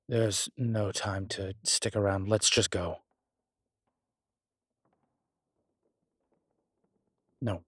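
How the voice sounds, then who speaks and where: A man playing a commanding male incubus demon investigator in an audio roleplay, terse and colloquial, with a low, husky voice. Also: no room sound, clean studio sound. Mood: urgent, alarmed. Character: gruff, urgent male incubus demon investigator, protective, wryly friendly. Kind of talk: immersive audio roleplay acting.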